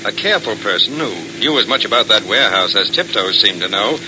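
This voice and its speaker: A man's voice: lowish voice, lively intonation, fast, natural voice. Guy acting a methodical american police detective, old radio show, patient but firm, really noisy recording. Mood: serious. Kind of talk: 1940s radio drama acting.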